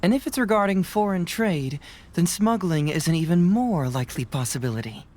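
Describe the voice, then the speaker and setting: A man's voice: high voice, lively intonation, darkish tone. Composed young male sleuth, analytical, articulate, anime game delivery over faint background noise. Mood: thoughtful. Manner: measured, deductive, articulate. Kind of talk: anime video game voice acting.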